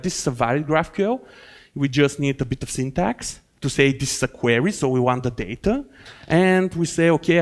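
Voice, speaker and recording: A man with a Bulgarian accent presents a technical talk at a conference. He explains in a loose, rambling way, full of fillers and restarts. His voice sits at a middle pitch. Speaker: conference speaker. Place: conference hall.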